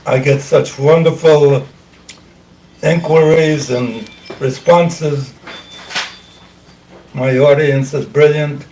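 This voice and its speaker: An older man hosting a talk show, speaking slowly and haltingly, clearing his throat often and losing his voice. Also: fairly high pitch, steady tone, warm tone, distant mic, slight background noise, rough recording. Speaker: host. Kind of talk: casual talk show monologue.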